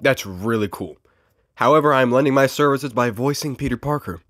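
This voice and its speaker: A man's voice: mid-range voice, brisk, a bit bright, no room sound, studio quality. A man giving a casual video introduction as a presenter and voice actor.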